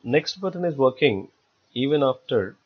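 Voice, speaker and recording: A man giving step-by-step software tutorial narration as an instructor, in a halting, repetitive explanatory way. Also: mid-range voice, lively intonation. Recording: dull lo-fi voice-over recording.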